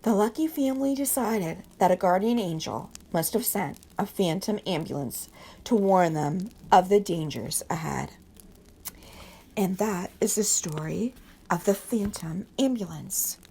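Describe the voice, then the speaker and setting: A woman reading stories aloud from a book as a storyteller, with measured, deliberate phrasing. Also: mid-range voice, lively intonation, gravelly, dark tone, slightly rough.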